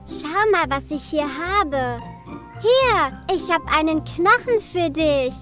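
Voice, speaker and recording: A woman's voice: sits high, expressive, fairly bright tone, soft background music, heavily acted. A woman voicing a cheerful little girl adventurer in a preschool cartoon, speaking simply and encouragingly to young viewers. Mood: curious, caring. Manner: slow, simple, encouraging delivery.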